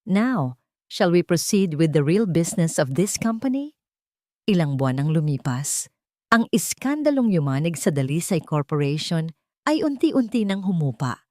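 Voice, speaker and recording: A woman storyteller reads scripted drama with very lively intonation. Her voice sits a little low, and the recording is dry, with no room sound. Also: no echo.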